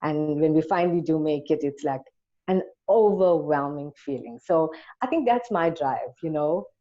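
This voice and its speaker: A South African woman speaks as an interview guest in a deep, dark-toned voice. She talks conversationally and earnestly about herself and her work. The audio is dull and lo-fi. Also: expressive, moderate pace. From Durban, South Africa.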